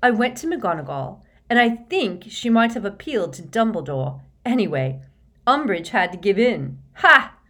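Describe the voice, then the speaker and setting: Woman voicing a brisk, bossy teenage girl team captain. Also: mid-range voice, very animated, fairly bright tone, pitched above the performer's own voice. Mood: excited, urgent. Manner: brisk, emphatic.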